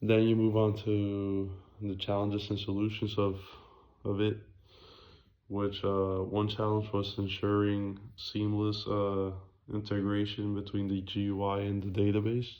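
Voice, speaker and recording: A young man presents a student project in a raspy voice with a completely flat intonation. He speaks haltingly and corrects himself as he goes, on a slightly muffled, lo-fi recording. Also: on the low side. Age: young adult. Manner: hesitant, halting, self-correcting.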